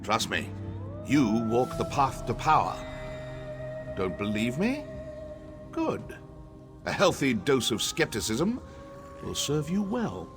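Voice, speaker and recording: A scheming elven scholar-sorcerer for a fantasy game, with mid-pitched, highly varied intonation. He sounds haughty and sardonic, savouring every riddle in a theatrical manner, over clear background music. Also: wildly swooping pitch, slow, pauses often. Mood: haughty, cryptic. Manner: theatrical, condescending, dryly sardonic. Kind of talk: scripted video game dialogue. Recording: music under the voice.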